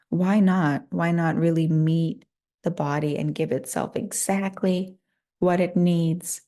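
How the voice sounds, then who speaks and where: Woman doing guided meditation, soft dark tone w lots of creak, soothing n unhurried. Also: fairly low pitch. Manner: soothing, unhurried, gently inviting.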